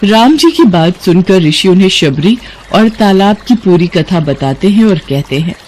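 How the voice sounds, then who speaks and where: A woman's voice: mid-range voice, expressive, unhurried, darkish tone, a little hiss or hum, poor audio. A woman narrating a scripted devotional story.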